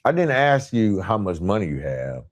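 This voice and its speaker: Low-voiced man giving an emphatic motivational talk. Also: very animated, muffled recording. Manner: emphatic, deliberate, repeats phrases for emphasis. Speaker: podcast host and motivational speaker. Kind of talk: motivational monologue, part scripted.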